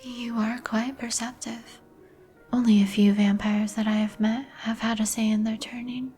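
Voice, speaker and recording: A woman playing a melancholy, courteous vampire in a breathy whisper, with formal, old-fashioned phrasing for an ASMR roleplay. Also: on the high side, level tone, normal speed, warm tone, close to an everyday voice. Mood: sorrowful. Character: melancholy, courteous vampire woman, turned young some 150 years ago. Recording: music behind the voice. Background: music.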